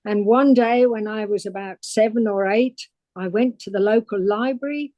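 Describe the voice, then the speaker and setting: Older woman, mellow storyteller. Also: mid-range voice, moderate pace, dry recording, highs cut off. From England. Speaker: guest paleontologist. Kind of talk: podcast interview.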